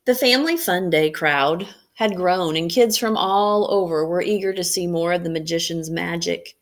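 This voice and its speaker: Middle aged teacher lady, voice a bit on the low side, doing an expressive storytime read for kids. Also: moderate pace. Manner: expressive, clearly addressing young children. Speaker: school educator reading aloud to students. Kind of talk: read-aloud storytelling for children.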